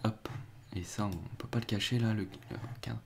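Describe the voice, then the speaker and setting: A man's voice: fairly low pitch, steady tone, moderate pace, vocal fry, slight background noise. A young man casually commenting on crypto news during a live stream, rambling with restarts and reading from the chat. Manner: rambling, restarts and fillers, chats with viewers.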